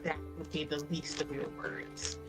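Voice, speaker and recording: A woman's voice: sits low, flat delivery, moderate pace. A woman bantering casually about a tabletop game, with a playful, wry way of talking. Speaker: tabletop roleplaying game player. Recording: music playing behind the talk.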